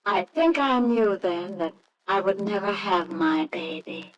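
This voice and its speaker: An actress playing an earnest, anxious young American wife in an old radio drama, hesitant and tender. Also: female voice, medium pitch, expressive, a little slow, dull audio, close to an everyday voice. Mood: wistful, reflective. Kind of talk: old-time radio drama acting with narration. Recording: faintly noisy old radio recording.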